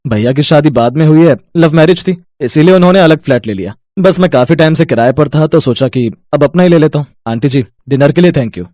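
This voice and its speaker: A man playing a higher-voiced, polite young neighbour. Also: animated, brisk, hardly any pauses, darkish tone, no room sound, clean studio sound, natural voice. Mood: pleased, grateful. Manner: polite, earnest, respectful. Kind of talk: Hindi family storybook dialogue.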